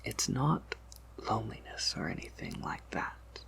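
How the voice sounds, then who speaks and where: A man performs a patient, knowing vampire shopkeeper for an ASMR roleplay. He speaks slowly and softly, mostly in a whisper that drops into heavy creak. His explanations are measured and slightly wry. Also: on the low side, big pitch swings, heavily acted. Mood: quiet, confiding. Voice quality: breathy whisper with creaky undertone. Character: soft-spoken male vampire apothecary shopkeeper, patient and knowing.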